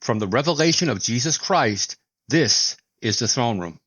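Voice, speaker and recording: A man narrating a scripted, dramatic Bible explanation in a solemn, deliberate way with emphatic pauses. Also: medium pitch, animated, a bit bright, slightly rough, no room sound. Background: music.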